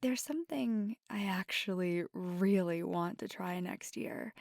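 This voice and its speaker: Woman acting as a flirty vampire gf asmr, soft and whispery, slow w lots of vocal fry, playful n affectionate. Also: mid-range voice, no room sound, studio quality. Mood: earnest, wistful.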